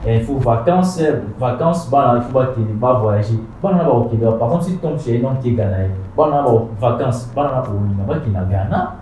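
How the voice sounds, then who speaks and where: A man moderating a casual panel, talking haltingly with many fillers. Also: mid-range voice, expressive, warm tone. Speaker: host. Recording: echoey, distant mic, faint noise.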